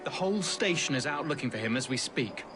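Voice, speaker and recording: A man's voice: medium pitch, lively intonation, fast, music in the background, close to an everyday voice. An actor playing a concerned, matter-of-fact Victorian London policeman with an English accent in a video game. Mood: earnest, informative. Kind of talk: video game cutscene acting.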